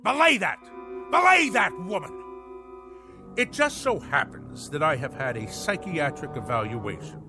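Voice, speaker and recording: An actor in a radio drama playing a pompous, manic husband obsessed with the navy, declaiming in grandiose nautical bombast. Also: male voice, a little high, very animated, a little slow, over music. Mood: blustering, defiant. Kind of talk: theatrical radio drama acting.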